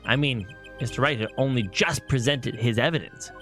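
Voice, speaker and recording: A man reading the lines of an earnest young male defense attorney during a game playthrough, thoughtfully and deliberately. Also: mid-range voice, a bit bright, soft background music, close to an everyday voice. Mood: probing.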